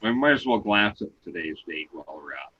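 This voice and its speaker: A man with a loud voice, co-hosting an online talk show and patiently explaining things step by step. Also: deep voice, sing-song, fast, husky, no echo, dull audio. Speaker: co-host explaining seismograms.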